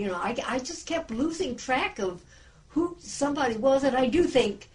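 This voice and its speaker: Woman slightly off-mic in a book group. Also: medium pitch. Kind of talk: casual group discussion. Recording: slightly distant from the microphone.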